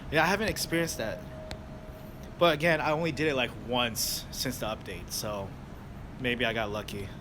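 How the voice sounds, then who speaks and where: A man's voice: medium pitch, moderate pace. Young guy from the us chatting on a livestream, lots of likes and ums, noisy street around him. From United States. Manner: rambling, loose, lots of fillers and restarts. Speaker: delivery driver livestreamer. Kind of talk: casual livestream chat answering viewers. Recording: noisy outdoor street background. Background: traffic and street noise.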